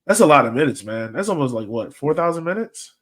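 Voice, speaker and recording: A man's voice: on the high side, very animated, quick pace. A man hosting a fan podcast, chatting casually about soccer in a rambling, colloquial, conversational way. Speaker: podcast host.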